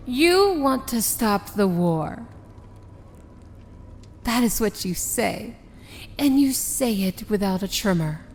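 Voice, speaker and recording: A woman's voice: fairly high pitch, very animated, a little hiss or hum, heavily acted. A woman playing an imperious, amused princess of evil in a fantasy ASMR roleplay, speaking in a theatrical, sardonic manner. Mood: amused, intrigued. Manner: slow, theatrical, grandly sardonic.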